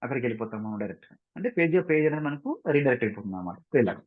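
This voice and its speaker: Fast-talking Telugu Indian man teaching. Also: mid-range voice, animated. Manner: often checks understanding with short tag questions; mixes English technical terms into speech. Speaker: software instructor. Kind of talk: informal screen-share tutorial. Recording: dull, muffled lo-fi sound.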